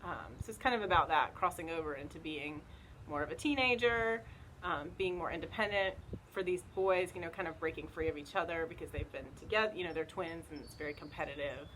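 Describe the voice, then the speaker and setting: A woman's voice: deep voice, a little fast, low-quality recording. A woman casually recommending books, conversational and loosely phrased with small hedges, recorded outdoors. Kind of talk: casual book recommendation chat. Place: outdoors in the wind. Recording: loud wind noise on outdoor home video.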